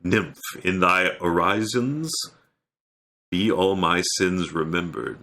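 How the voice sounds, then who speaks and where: A man performing a troubled, brooding young prince in a Shakespearean stage soliloquy, with measured, solemn phrasing. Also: low voice, expressive, bright, forceful tone, heavily acted. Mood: resigned. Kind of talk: Shakespearean dramatic recitation.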